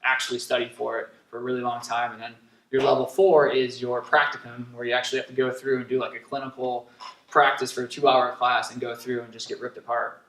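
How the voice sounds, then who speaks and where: Fast-talking man in an echoey room. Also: medium pitch, level tone, fairly bright tone. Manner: fast, upbeat, jokey talker. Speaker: fitness gym co-owner, podcast guest. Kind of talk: casual podcast chat. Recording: echoey room, distant mic.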